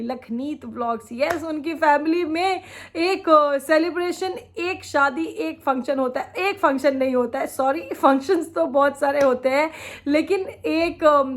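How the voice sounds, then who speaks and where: Chatty high-voiced woman vlogger with a cold. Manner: chatty and opinionated, laughs often. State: sore throat from a cold. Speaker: vlogger reacting to other creators. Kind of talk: casual reaction vlog commentary.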